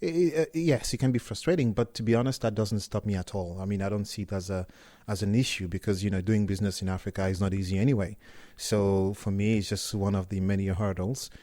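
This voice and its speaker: A middle-aged man from Cameroon, a startup co-founder talking casually as an interview guest, relaxed and often restarting his words. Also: on the low side, quick pace, dry recording, studio quality.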